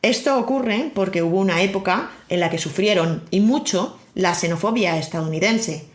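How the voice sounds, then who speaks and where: A woman's voice: mid-range voice, moderate pace. A woman with an accent from Spain, hosting a podcast and reading a scripted monologue with wry, personal storytelling. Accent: Spain Spanish. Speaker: podcast host. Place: living in Miami.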